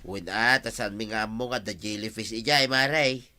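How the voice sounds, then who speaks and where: An actor with an Ilocano accent playing a friendly, chatty village friend in a radio drama. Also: male voice, on the low side, moderate pace, pushed and bright, no echo. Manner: familiar, friendly banter.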